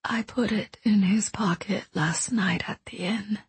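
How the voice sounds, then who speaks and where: A woman voicing a weak, dying woman mentor who speaks in a faint, breathy whisper, struggling for breath. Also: mid-range voice, fairly even intonation, unhurried, dry recording, exaggerated voice. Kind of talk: audiobook character voice.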